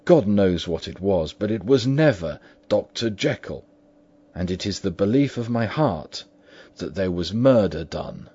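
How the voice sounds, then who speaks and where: Man reading as a frightened old butler, urgent and emphatic, raised pitch and louder, husky, dull lo-fi sound. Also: lively intonation, measured pace. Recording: dull lo-fi recording.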